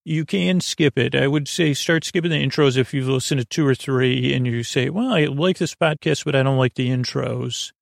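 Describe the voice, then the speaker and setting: Rambling, creaky-voiced man hosting a podcast. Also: medium pitch, no room sound, clean studio sound. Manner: meandering, halting, stammering with trailing tangents; whimsical, mock-earnest musing. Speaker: podcast host. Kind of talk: rambling bedtime podcast monologue.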